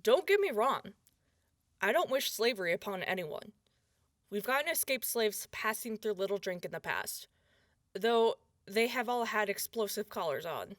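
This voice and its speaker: An actress playing a blunt, suspicious sheriff, giving firm, plain-spoken testimony in an audio drama. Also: female voice, medium pitch, expressive, moderate pace, a bit bright, no echo, clean studio sound, natural voice. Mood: wary, firm. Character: blunt, suspicious woman sheriff of a wasteland town.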